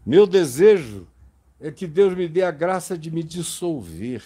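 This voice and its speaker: A man with a high voice delivers an impassioned sermon. He speaks slowly and emphatically, letting each phrase land. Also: big pitch swings, dark tone, breathy edge. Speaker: preacher.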